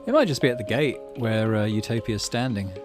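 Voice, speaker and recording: A man hosting a gaming channel, reading a visual novel aloud and making relaxed, musing asides. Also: medium pitch, a little fast, over music.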